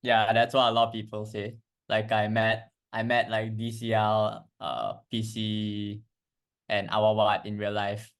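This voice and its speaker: Young man with a lowish voice, halting. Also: level tone. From Malaysia. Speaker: podcast guest, crypto trader. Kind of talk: casual chat.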